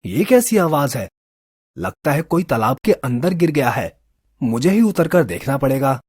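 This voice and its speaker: Kind young villager for an animated story, tender and lively, husky. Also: male voice, medium pitch, measured pace, dry recording. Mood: worried.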